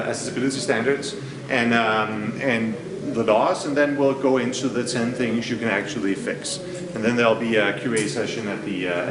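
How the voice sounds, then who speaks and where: A man from Denmark with a Danish accent presenting at a conference, hesitant with many fillers. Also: mid-range voice. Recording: distant mic in an echoey hall, crowd murmur.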